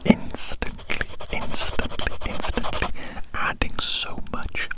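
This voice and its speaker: An ASMR performer whispering breathily right up close to the microphone in a slow ramble, softly repeating phrases.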